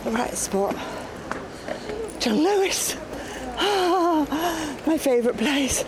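A woman with an English accent talking to herself in an excitable, exclamatory way, as in a spontaneous audio diary recorded out and about. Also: sits high, takes it slowly. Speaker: performance artist narrating her own outing. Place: outdoors, in a moving car and shops. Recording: distant mic, loud car and street noise. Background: loud traffic and vehicle ambience.

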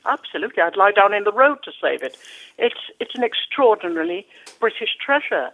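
A woman's voice: mid-range voice, very animated, fairly quick. A woman, a national poet being interviewed, talking with passion and a ready laugh, often repeating words. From Wales. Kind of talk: radio interview. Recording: tinny phone-like line.

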